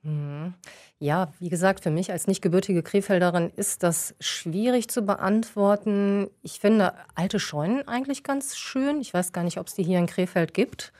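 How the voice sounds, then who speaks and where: A woman's voice: mid-range voice, moderate pace, darkish tone. A young woman from Düsseldorf, chatting casually as co-host, laughing often and using many fillers. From Düsseldorf, Germany. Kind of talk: casual chat, question round.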